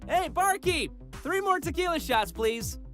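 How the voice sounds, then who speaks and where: A man's voice: extremely high pitch, animated, unhurried, a bit bright, voice pushed up, exaggerated voice. A man voicing a sociable furry friend in a visual novel, casual and exuberant with dry asides. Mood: relaxed, eager. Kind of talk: visual novel character dialogue. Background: game music.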